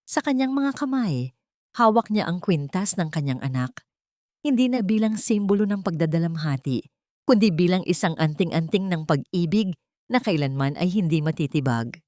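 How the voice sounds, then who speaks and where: A woman narrating a dramatic story in an earnest, sentimental, measured way. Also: fairly low pitch, animated, darkish tone, no echo, clean studio sound.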